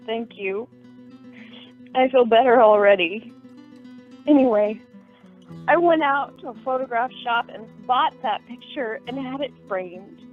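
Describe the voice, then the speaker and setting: A woman's voice: on the high side, measured pace, pauses often. A woman acting an emotional, pleading young sweetheart in a radio play, earnest and melodramatic. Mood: coy, relieved. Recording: tinny narrow-band audio, faint music.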